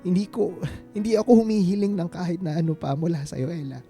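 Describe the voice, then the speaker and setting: A devoted, vulnerable Filipino man in a radio romance drama. His voice is soft-edged and mellow, pitched a little high for a man. He speaks haltingly and earnestly, with feeling, over faint music. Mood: pained, confessing. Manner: halting, earnest, emotional delivery. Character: devoted, vulnerable man confessing love to his reunited sweetheart.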